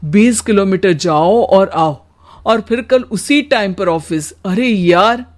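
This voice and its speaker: A woman's voice: mid-range voice, slow, natural voice. A woman voicing a weary, put-upon husband in a cartoon story, plaintive and sighing. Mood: tired, annoyed.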